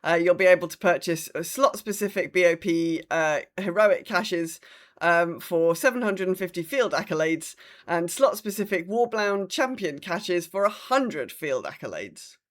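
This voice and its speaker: A woman's voice: fairly low pitch, animated, normal speed. A British woman co-hosting a gaming podcast in casual chat, with a wry, hesitant way of talking. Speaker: podcast co-host.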